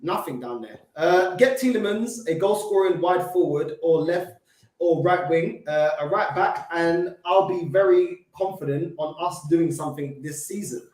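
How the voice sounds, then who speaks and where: A man's voice: fairly high pitch, moderate pace, darkish tone. A man with a London accent, co-hosting a casual football fan live chat, bantering in slang and reading out comments. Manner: banter-filled, laughs often, London slang. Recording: roomy echo.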